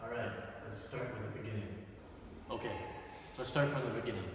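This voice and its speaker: A man's voice: lowish voice, steady tone, brisk. A young man playing an interviewer in a student video, asking his questions in an earnest, stiff manner. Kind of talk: scripted student role-play interview. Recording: echoey room, far from the mic, with noise.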